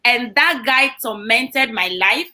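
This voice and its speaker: A woman guest in a studio interview, telling stories with passion and acting out what others said. Her voice is high and bright. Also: expressive, slow. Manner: impassioned storytelling, quoting others. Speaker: founder of a girls' advocacy group.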